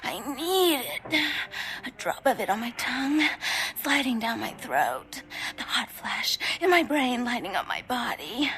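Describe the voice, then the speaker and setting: An actress playing a distraught, hungry, guilt-ridden young female vampire in a video game, with breathy, halting, anguished delivery. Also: fairly high pitch, very animated, fairly bright tone, slight background noise, full character voice. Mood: ravenous.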